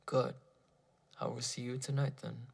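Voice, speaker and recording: A man acts out an earnest scientist husband in a dramatized horror tale. His voice is heavily gravelly and rather husky. He speaks insistently and soothingly, as if persuading someone dear. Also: medium pitch, little pitch movement, a little slow, full character voice. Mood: coaxing. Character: earnest male scientist husband pleading and reassuring his wife. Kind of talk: dramatized horror narration dialogue.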